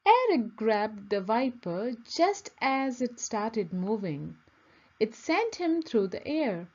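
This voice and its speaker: Woman reading a kids book aloud, slow and animated, sorta muffled. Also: fairly high pitch. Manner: expressive, measured. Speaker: storybook reader. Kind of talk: children's read-aloud narration.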